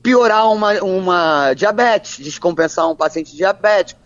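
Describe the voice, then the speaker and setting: A woman, a physician answering interview questions in a measured, plain way with frequent restarts. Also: lowish voice, no echo. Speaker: physician guest. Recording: dull, lo-fi, dry sound.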